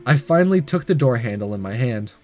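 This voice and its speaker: A man's voice: mid-range voice, lively intonation, a little fast, a little mellow, soft background music. A man hosting a gaming video, reading a visual novel aloud with casual comments and stumbling over the odd line. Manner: stumbles and rereads lines.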